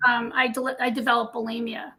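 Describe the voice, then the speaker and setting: Bright, high-voiced older woman telling her story. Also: little pitch movement, a little fast. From Scotland. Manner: candid, chatty storytelling. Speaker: guest dietitian. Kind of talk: casual interview chat.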